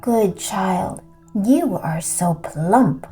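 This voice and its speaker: Storybook wolf played by a woman: old, male, sly, coaxing as a fake granny, unhurried, music underneath. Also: medium pitch, animated, warm tone. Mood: sweetly sly. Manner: coaxing, falsely affectionate. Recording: music behind the voice.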